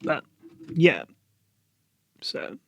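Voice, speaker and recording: A young British man hosting a solo podcast, talking casually to camera and chatty, with lots of "like" fillers. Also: drawn-out words. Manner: chatty, frequent "like" fillers, opinionated. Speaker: podcast host.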